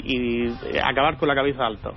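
A man's voice: mid-range voice, fairly even intonation, moderate pace, soft background music. A man with an accent from Spain casually giving his opinion as a caller on a radio show. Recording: dull lo-fi radio phone call.

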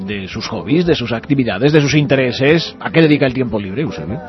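A man hosting a radio interview, asking questions in a brisk, friendly way. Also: medium pitch, lively intonation, lo-fi sound, faint music behind. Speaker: radio host.

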